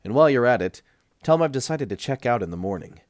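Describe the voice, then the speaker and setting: A man's voice: mid-range voice, lively intonation, fast, a little mellow, no room sound, clean studio sound, voice pushed up, natural voice. A man voicing a cool, defiant male patient in an audiobook, curt and wry. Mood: coolly stubborn. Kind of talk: audiobook character dialogue.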